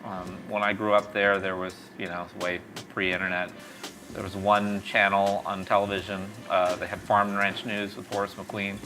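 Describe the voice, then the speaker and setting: A man's voice: on the low side, little pitch movement, normal speed, noisy background. A middle-aged man from East Texas telling stories reflectively in a conversational interview. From East Texas, born in Mexico. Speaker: tech company founder, interview guest.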